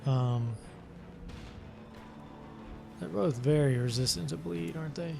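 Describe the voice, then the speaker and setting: A man's voice: on the high side, takes it slowly, vocal fry. A man hosting a let's play, chatting casually as he plays and thinking aloud with many hesitations. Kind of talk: casual gameplay commentary. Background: game music.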